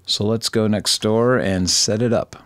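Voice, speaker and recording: A man presenting a casual screen-recorded software tutorial, thinking aloud in an informal, self-deprecating way. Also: on the low side, fairly even intonation, a little slow, no room sound.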